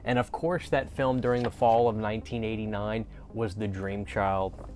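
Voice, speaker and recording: A man's voice: lowish voice, steady tone, normal speed, a little mellow. A man hosting a fan video, talking casually and enthusiastically in an informal vlog style. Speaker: host of a horror fan video channel.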